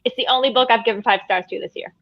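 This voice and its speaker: A woman's voice: on the high side, very fast. Chatty woman on a dull call line. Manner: rambling, restarts, self-deprecating laughs. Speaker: book channel host. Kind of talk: casual group chat on video call. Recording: dull lo-fi sound.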